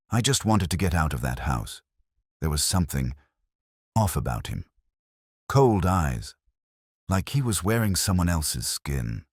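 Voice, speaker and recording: A man reading the part of a guilt-burdened Victorian man in his forties, quiet and uneasy, in a story. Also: very deep voice, husky, no room sound, clean studio sound, exaggerated voice.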